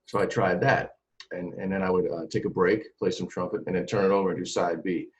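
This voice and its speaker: A man in his forties talking about his music career in a casual interview, animated and full of restarts and repeated words. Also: low voice, fairly quick, slight background noise. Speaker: trumpeter and singer, interview guest. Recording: dull lo-fi sound.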